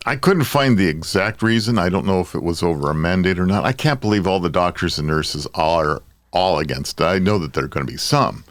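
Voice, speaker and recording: A man's voice: sits low, expressive, a little fast, no room sound. A man co-hosting a podcast in casual discussion, repeating phrases for emphasis as he gives his opinions. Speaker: podcast co-host.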